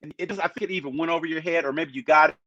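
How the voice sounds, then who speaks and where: Brief: male panelist, mid-pitched, dry lo-fi line, loose rambling delivery. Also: steady tone, very fast, sharp tone, dry recording. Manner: rambling, many restarts and hedges. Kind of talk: casual chat. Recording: muffled lo-fi sound.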